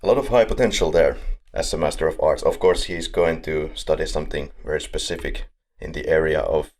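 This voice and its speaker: A man's voice: a bass voice, brisk, a little mellow. A man from Finland, hosting a podcast in a casual, upbeat and joking way. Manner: upbeat, joking, laughs between lines. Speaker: podcast host. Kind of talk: casual chat.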